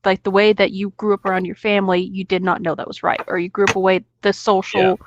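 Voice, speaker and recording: A woman's voice: medium pitch, little pitch movement, fast talker, muffled recording, background noise. A woman chatting casually and emphatically, giving her opinions in an informal way and swearing freely.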